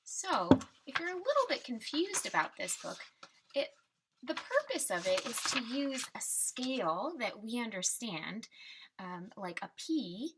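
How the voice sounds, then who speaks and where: A woman reading a children's picture book aloud and explaining it simply, in a deliberate teaching manner. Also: on the high side, very animated, heavy background noise.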